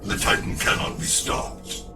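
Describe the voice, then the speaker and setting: A man's voice: unhurried, lightly acted. An actor playing a grave male guide in a video game, solemn and dramatic as he urges a warrior onward. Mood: grave, urgent. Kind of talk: video game cutscene acting. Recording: echoing, distant voice under loud music. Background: loud game music.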